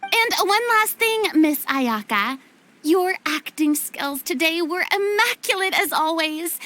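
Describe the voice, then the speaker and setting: Game role, young female film director: theatrical, effusive, very high voice with animated swings. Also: full character voice. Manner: dramatic, effusive. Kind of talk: video game character acting.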